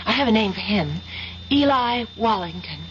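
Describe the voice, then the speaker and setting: An actress playing an anxious, obsessed young college student in an old-time radio drama, speaking in a halting, intense, earnest way. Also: female voice, medium pitch, animated, slight background noise, lightly acted. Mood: earnest, driven. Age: young adult. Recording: muffled old radio recording with music.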